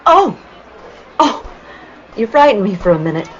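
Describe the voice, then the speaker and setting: A woman's voice: mid-range voice, sing-song, unhurried. A woman playing a trusting, easily startled young sweetheart heroine in an old-time radio play. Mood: trusting, flustered. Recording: muffled, lo-fi audio with noise.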